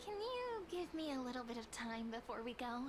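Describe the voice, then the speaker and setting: A woman playing a gentle, earnest, slightly unsure young girl in a video game, speaking thoughtfully and a little hesitantly. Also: fairly high pitch, sing-song, brisk. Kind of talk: scripted video game dialogue. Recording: clear noise behind the voice.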